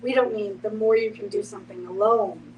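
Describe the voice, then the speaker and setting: A woman's voice: medium pitch, fairly even intonation, fairly quick. A woman explaining her thoughts reflectively in a group discussion, often saying "you know" as a podcast panelist. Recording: noisy, distant microphone, background hum.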